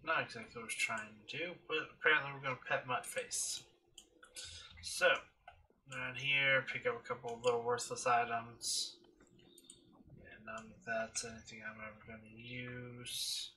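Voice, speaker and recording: Man with bright, forceful voice, distant mic. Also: mid-range voice, fairly even intonation, normal speed. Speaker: gaming host. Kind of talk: casual playthrough commentary. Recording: distant mic with faint noise.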